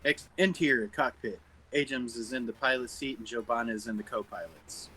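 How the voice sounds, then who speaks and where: A man's voice: medium pitch, a little fast, bright, forceful tone, slight background noise, poor audio. A man narrating stage directions from a script at a table read.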